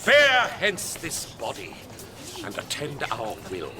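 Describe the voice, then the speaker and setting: Stern, slow-spoken ruler amid crowd. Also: male voice, mid-range voice, wildly swooping pitch. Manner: declamatory, measured. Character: stern, commanding ruler passing judgment. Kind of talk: classical Shakespearean radio drama acting.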